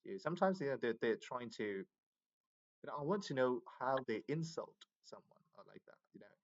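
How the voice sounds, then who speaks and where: A man's voice: medium pitch, animated, normal speed, muffled recording. A man from Myanmar, chatting casually in English with many fillers. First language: Burmese. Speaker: English learner. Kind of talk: casual video chat.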